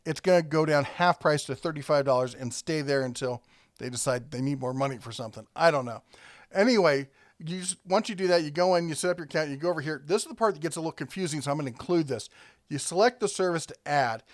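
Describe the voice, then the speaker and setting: A man's voice: on the high side, quick pace, dry recording, clean studio sound. A man hosting a casual product review, talking conversationally and thinking aloud as he rambles along.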